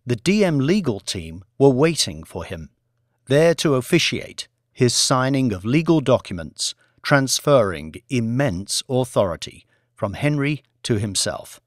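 A man reading fiction as an audiobook narrator, in a measured, dramatic storytelling manner. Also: medium pitch, big pitch swings, no room sound, studio quality.

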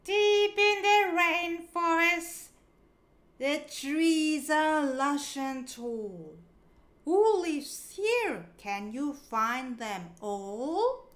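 A woman with a high voice reads a picture book aloud for small children. Her intonation is very lively and sing-song. She goes extremely slowly, pronouncing each word clearly for young learners. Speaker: children's storybook reader.

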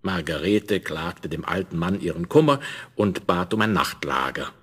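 A man narrating a fairy tale in a measured, expressive way, addressing the listener, on an old vinyl record. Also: deep voice, husky. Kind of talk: scripted fairy-tale storytelling. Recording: crackly 1970s vinyl record transfer.

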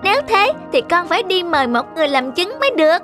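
A woman voicing a clever little village boy in a children's cartoon, polite but cheeky and quick-witted. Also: very high voice, very animated, music in the background. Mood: cheeky, confident.

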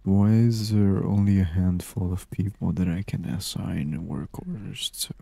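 A man doing soft-spoken ASMR gaming commentary, murmuring and musing aloud to himself close to the microphone. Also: low voice, steady tone, unhurried, vocal fry, no echo. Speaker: gaming channel host. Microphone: close microphone, dry.

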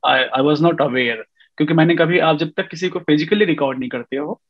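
A man's voice: a little high, moderate pace. A man in his thirties, a music composer speaking as an interview guest over a video call, telling his story with lots of fillers and mixing in English. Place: indoor room at home. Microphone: webcam on a video call. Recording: dull lo-fi video call audio.

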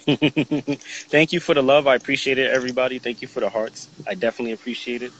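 A man's voice: medium pitch, fairly even intonation, fast talker, highs cut off, slight background noise. A man in his forties hosting a live video call chat, upbeat and laughing often, talking into a phone held at arm's length outdoors. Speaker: host. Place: outdoors in a sunny yard.

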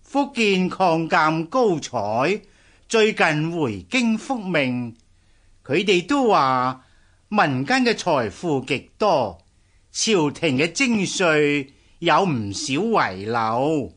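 An obsequious eunuch of the imperial palace in a radio drama. He has a Hong Kong Cantonese accent and drags his words out with big swings of pitch. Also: big pitch swings, a bit bright, full character voice. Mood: fawning, eager. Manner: obsequious, deliberate. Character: fawning palace eunuch reporting to his emperor. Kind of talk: historical radio drama acting.

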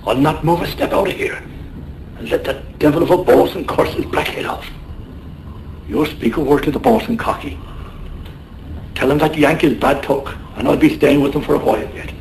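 A rough but tender-hearted Irish sailor in a radio play. His colloquial, blustery voice is gravelly and sits a little high. It rings in a roomy space over faint noise. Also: moderate pace, pauses often, a little mellow, recorded from a distance. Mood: worried, soothing. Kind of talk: radio drama acting.

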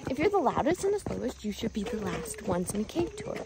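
A woman in her thirties narrating her family's travel vlog in a chatty, lively way, laughing often. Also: fairly high pitch, a little fast, vocal fry, noisy background. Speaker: family vlogger, mother.

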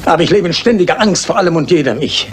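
A man's voice: fairly high pitch, expressive, fast talker, lightly acted. A man acting an old, reclusive retired judge, defensive and fearful, in a German radio crime play. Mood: anxious, confiding. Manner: stern, defensive. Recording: faintly noisy old radio play recording.

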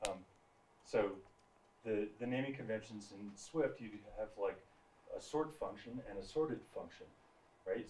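A man with a low voice adds comments from the audience, far from the microphone in a roomy hall. He talks conversationally and keeps checking in with "right?". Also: a little mellow, a little hiss or hum. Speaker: co-presenter adding comments from the room. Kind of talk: off-mic comment in a talk. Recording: roomy, distant from the mic.

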